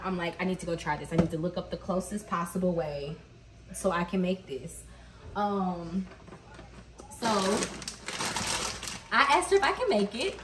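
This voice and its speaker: A woman in her thirties tells a story to her viewers while she cooks in a home kitchen, laughing often as she goes. She stands some way from the camera, so her voice carries the echo of the room, with a faint hiss underneath. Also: medium pitch. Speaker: mom vlogger. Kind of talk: cook-with-me vlog chat. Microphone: camera across the kitchen. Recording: echoey kitchen, camera mic at a distance.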